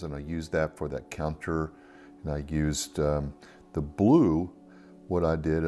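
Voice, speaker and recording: A man's voice: very deep voice, mellow. A man hosting a watercolor tutorial, talking through his process in an easygoing way with frequent ums and uhs. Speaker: art tutorial host. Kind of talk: relaxed step-by-step painting demonstration. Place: at a table, painting from overhead camera view.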